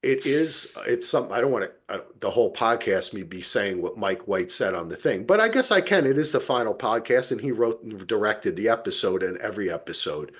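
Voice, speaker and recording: A man hosting a podcast, chatting casually and emphatically about television, acting out quoted asides as he goes. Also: fairly low pitch. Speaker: podcast host. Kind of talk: casual TV recap discussion.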